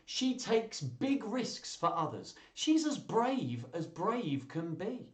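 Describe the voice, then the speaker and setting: A man acts out proud, adoring relatives from a children's storybook. The delivery is emphatic and sing-song, swooping widely in pitch, with drawn-out words. The room sounds echoey. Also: big pitch swings, heavily acted. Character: storybook family members proudly praising a loved one. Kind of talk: slow, expressive children's story reading. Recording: roomy, echoey live stream sound.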